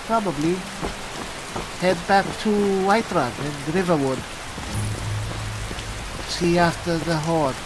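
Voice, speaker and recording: A man playing a chatty, pompous adventuring cleric in an improvised fantasy game roleplay, halting and musing theatrically with dry humour. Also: on the high side, background noise, natural voice. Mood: solemn, musing. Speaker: gamer playing a character.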